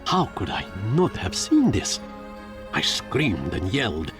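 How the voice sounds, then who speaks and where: A man voicing a stubborn, self-pitying fluffy engineer creature in a game, narrating in a theatrical, brooding, gravelly manner. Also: low voice, wildly swooping pitch, takes it slowly, music in the background, heavily acted. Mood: indignant. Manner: theatrical, brooding, pompous delivery. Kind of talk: dramatic first-person game narration.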